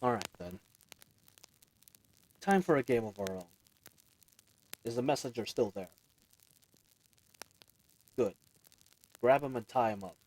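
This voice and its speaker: A man's voice: medium pitch, slow pace, long pauses, a little mellow, low-quality recording. A man playing a friendly, roguish bandit leader in a fantasy audio roleplay, talking in a casual, teasing, chatty way. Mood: firm, commanding. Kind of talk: fantasy audio roleplay acting, speaking intimately to listener.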